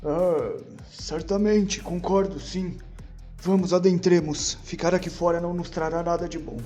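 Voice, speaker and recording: A man's voice: sits high, steady tone, unhurried, mellow, faint music behind, pitched above the performer's own voice. A man voicing an eager adventurer with formal, old-fashioned wording in a tabletop audio drama. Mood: eager, agreeing.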